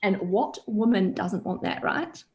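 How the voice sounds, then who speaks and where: A woman's voice: medium pitch, expressive, unhurried, darkish tone. A young woman with a New Zealand accent, a confidence coach chatting as a guest over a video call and telling stories with plenty of ums. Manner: chatty storytelling, frequent ums. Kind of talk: casual video call interview.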